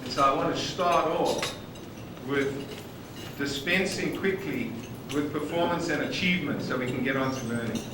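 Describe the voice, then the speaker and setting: South african guy giving a talk, bit higher voice, mic far off in a big echoey room. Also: normal speed, noisy background. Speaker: conference speaker. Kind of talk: conference presentation. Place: conference hall with dining noise. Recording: echoey hall from far off on old videotape.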